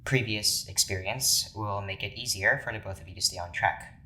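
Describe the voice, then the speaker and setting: An actor playing a stern, cautious doctor in an audio drama, speaking formally with dry, measured authority. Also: low-pitched, bright, forceful tone, a little hiss or hum, poor audio. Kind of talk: scripted audio drama acting.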